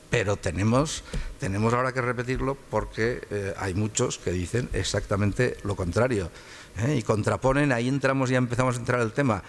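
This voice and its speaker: A man's voice: fairly low pitch, vocal fry. An older man from Catalonia giving a conversational public lecture as a guest speaker, often repeating words as he searches for his phrasing. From Catalonia, Spain.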